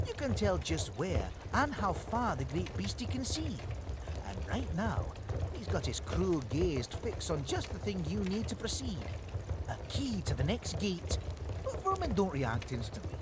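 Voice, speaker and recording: A man's voice: high voice, noisy background. A man voicing a boastful, merry woodland creature, a clever inventor who teaches scouts in a video game with flowery, folksy, playful talk. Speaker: tutorial mentor in a video game. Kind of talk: theatrical storybook game instruction.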